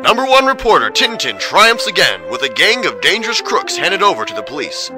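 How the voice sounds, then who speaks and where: A brisk newsreel announcer, proclaims triumphantly with big swings of pitch. Loud brass music plays behind the 1930s-style delivery. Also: male voice, wildly swooping pitch.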